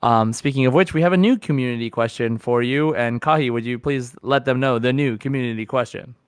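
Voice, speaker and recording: Man, mid-pitched, smooth crisp voice, easygoing podcast host, casual delivery, dry recording. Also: a little fast, no echo. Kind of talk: casual chat, reading out listener comments.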